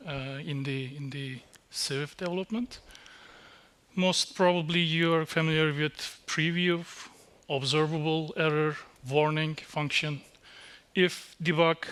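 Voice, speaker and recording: A man, giving a technical conference talk on a dark stage, halting with fillers and restarts. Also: fairly high pitch, clean tone. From Turkey. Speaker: conference speaker. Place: dark stage in a small venue.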